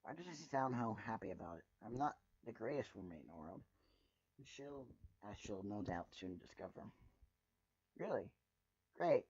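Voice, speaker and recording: A man with a husky voice reading a first-person story aloud as dramatic narration. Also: lowish voice, animated, normal speed. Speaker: fan fiction reader. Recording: muffled, roomy home recording.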